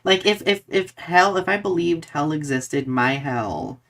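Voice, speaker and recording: A man's voice: fairly high pitch, lively intonation, unhurried, vocal fry, a bit bright. A man co-hosting a podcast in casual conversation, speaking expressively and emphatically with a filler-laden, searching delivery. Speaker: podcast co-host.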